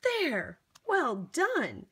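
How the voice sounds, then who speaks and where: Female children's storybook reader, high voice, very slow delivery, theatrical and encouraging, talks straight to the child. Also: wildly swooping pitch, bright, forceful tone. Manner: theatrical, with exaggerated gasps and exclamations; encouraging, coaxing, addressing the child listener. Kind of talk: interactive picture-book read-aloud for young children.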